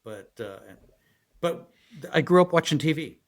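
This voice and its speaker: A man in his sixties from San Diego, a guest telling his life story in a halting, rambling way full of fillers. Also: a little high, animated. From San Diego, USA. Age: 60s. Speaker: guest, retired federal agent. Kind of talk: casual interview storytelling.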